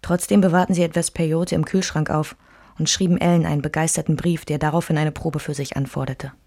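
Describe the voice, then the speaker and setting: A woman's voice: low-pitched, flat delivery, brisk, a little mellow. An actress playing a composed woman narrator, reading a literary bohemian memoir for a radio play.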